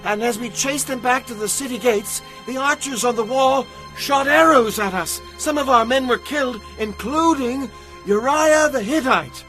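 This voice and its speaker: A man's voice: very high voice, normal speed, sharp tone, faint music behind, low-quality recording. An actor playing an earnest battlefield messenger reporting to a king in a dramatized audio Bible. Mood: grave, urgent.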